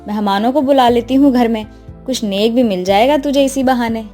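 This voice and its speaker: A woman playing a middle-aged mother and mother-in-law who instructs and gently scolds in a Hindi moral story cartoon. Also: a little high, moderate pace, faint music behind, close to an everyday voice. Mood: pleased.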